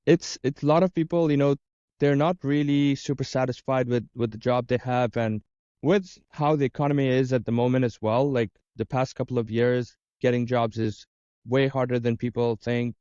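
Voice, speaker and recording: A man from Dubai speaks as an interview guest in a soft, mellow tone with a dry, close sound. The recording is slightly dull. He explains his coaching work conversationally, often listing examples. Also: mid-range voice, steady tone, moderate pace, smooth, dry recording. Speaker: interview guest, career coach and business owner.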